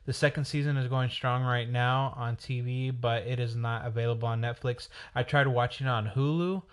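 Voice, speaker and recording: Male solo host, raspy with a cold, chatty and meandering, mid pitch. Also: fairly even intonation, normal speed. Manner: rambling, chatty, self-correcting. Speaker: podcast host. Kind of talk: casual solo chat.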